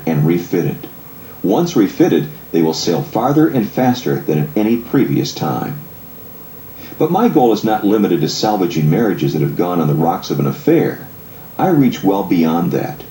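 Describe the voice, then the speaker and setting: Male audiobook narrator, measured delivery, mellow tone, echoey room. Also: low-pitched. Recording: echoey room, faint background noise.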